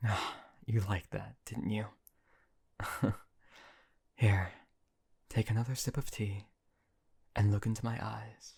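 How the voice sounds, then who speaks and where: A man playing a silky, husky, possessive emperor. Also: fairly low pitch, flat delivery, slow, pauses often, exaggerated voice. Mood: cruelly tender. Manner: silky, condescending, softly whispering. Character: possessive, cruel yet doting male emperor. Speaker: audio roleplay performer. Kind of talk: audio roleplay acting.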